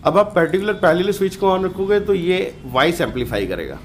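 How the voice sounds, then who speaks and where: A man with a fairly high-pitched voice narrates a hands-on DIY tutorial. He talks casually and colloquially, restating points for emphasis as a channel host would. Some room noise comes through in parts of the recording. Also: expressive, fairly quick. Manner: informal, colloquial, repeats himself for emphasis.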